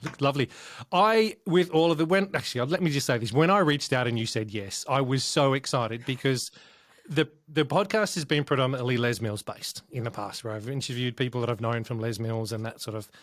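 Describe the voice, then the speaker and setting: A man's voice: mid-range voice, fairly quick, no echo, clean studio sound. Enthusiastic man hosting a podcast. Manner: enthusiastic, rambling with restarts. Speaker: podcast host. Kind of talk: podcast interview.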